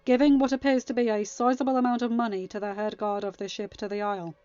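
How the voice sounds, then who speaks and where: A woman's voice: a little high, fairly even intonation, fast, dark tone, clear, resonant tone, no echo, muffled recording, close to an everyday voice. A woman voicing a firm, formal young king presiding over a council in a story reading. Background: music.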